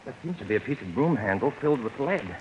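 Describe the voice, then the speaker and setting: An actor playing a timid, polite young man in a hesitant, halting manner, in 1940s radio suspense style. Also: mid-range voice, animated, muffled recording, close to an everyday voice. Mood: anxious, shaken. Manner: hesitant, halting, self-doubting. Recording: noisy vintage radio recording.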